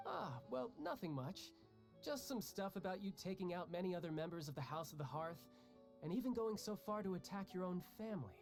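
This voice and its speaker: A man's voice: sits high, expressive, brisk, a little mellow. An actor playing a cocky young male warrior who talks in a breezy, joking way. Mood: teasing. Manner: breezy, joking, laughs often. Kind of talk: video game dialogue. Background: game music.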